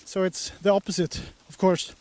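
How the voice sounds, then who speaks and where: A man, reporting live outdoors with a handheld mic while walking up a hill, halting with many pauses. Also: sits high, flat delivery, darkish tone. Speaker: trackside race reporter. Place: outdoors on a grassy hillside. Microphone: handheld microphone.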